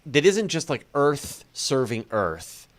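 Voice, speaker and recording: A man hosting a conversational interview, talking in an animated way with restarts and repeated phrases. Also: mid-range voice, takes it slowly, crowd noise. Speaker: interview host. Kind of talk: conversational interview about space.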